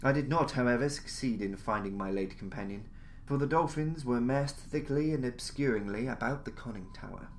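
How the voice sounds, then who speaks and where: A man reading a story aloud in a measured, formal, theatrical way, as a podcast narrator. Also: medium pitch. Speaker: narrator, audiobook-style podcast reader. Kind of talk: dramatic scripted story narration. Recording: noticeable background noise, jungle-like ambience.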